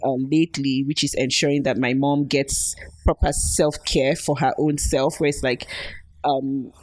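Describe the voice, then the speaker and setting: A woman's voice: rumbling low, little pitch movement, a little slow, darkish tone. A reflective woman guest. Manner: reflective, laughs often, trailing restarts. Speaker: podcast guest. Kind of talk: casual interview talk.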